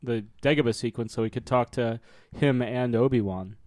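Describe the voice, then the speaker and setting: A man's voice: medium pitch, moderate pace, a little mellow, dry recording. A man in a casual film commentary chat, sharing trivia in a matter-of-fact way.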